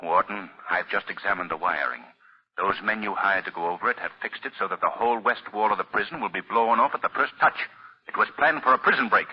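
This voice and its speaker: An actor playing an eerie, commanding invisible crime fighter in 1940s radio mystery style. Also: male voice, lowish voice, fast talker, breathy edge. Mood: urgent. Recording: dull vintage radio recording.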